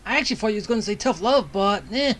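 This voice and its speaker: A woman's voice: mid-range voice, animated, fast, a bit bright, slight background noise. A woman streaming a game, giving casual, jokey, reactive commentary as she plays.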